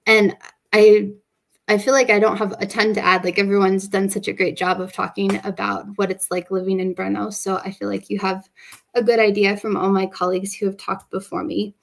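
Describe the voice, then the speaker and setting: A woman's voice: mid-range voice, normal speed, creaky. A young woman in her twenties, a master's student, casually presenting her study program on a video call with lots of fillers and "like". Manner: relaxed, frequent fillers and "like". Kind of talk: casual presentation at an online open day.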